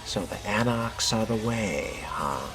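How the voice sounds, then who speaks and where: Slow, deep, sardonic man playing a fledgling vampire. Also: expressive. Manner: dry, sardonic, deliberate. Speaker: player voicing his game character. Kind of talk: role-play dubbing of game dialogue. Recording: background music under the voice.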